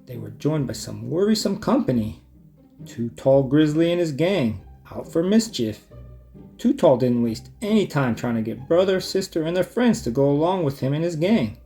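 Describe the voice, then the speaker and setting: A man narrating a children's storybook in an expressive storytelling manner. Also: fairly high pitch. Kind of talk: children's read-aloud, scripted narration.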